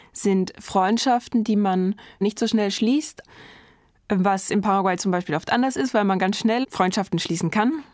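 A woman in her thirties from Germany, a German teacher answering portrait interview questions in a thoughtful, measured way, enthusiastic about teaching. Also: mid-range voice, darkish tone, dry recording, studio quality.

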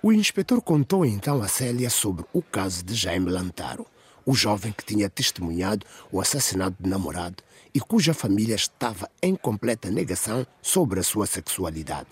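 A man's voice: fairly low pitch, big pitch swings, moderate pace, husky. A man narrating a scripted radio drama in a storytelling style.

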